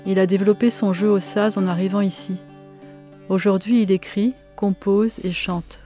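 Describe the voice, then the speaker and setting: A woman calmly narrating a documentary. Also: mid-range voice, moderate pace, soft background music.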